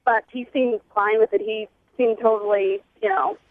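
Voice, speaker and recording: A woman's voice: on the high side, expressive, moderate pace, no room sound. A woman calling a radio show on a phone line, chatting casually in a hedging way full of filler words. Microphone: telephone.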